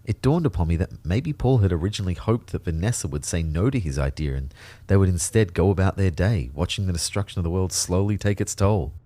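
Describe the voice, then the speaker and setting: A male actor plays an observant, self-doubting storyteller in an audio drama. His voice is deep and relaxed, recorded very dry, with a measured, reflective delivery. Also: no echo. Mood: wary. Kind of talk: first-person audio drama narration.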